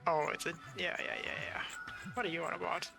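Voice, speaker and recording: A man's voice: on the high side, fairly even intonation, brisk, gravelly. A man giving casual live commentary as he plays a game, rambling and thinking aloud with mild swearing, over game music. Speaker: game streamer.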